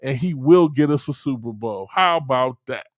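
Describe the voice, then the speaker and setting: A man's voice: medium pitch, expressive, moderate pace, dry recording, clean studio sound. A man co-hosting a sports talk show, passionately defending his team in a heated debate, stammering and repeating words when worked up. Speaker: co-host.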